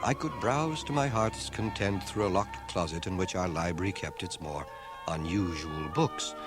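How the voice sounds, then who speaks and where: An actor playing a grown man recalling his boyhood with wry humor, as scripted radio drama narration. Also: low voice. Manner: wry, measured storytelling. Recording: old radio cassette with music underneath. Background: music.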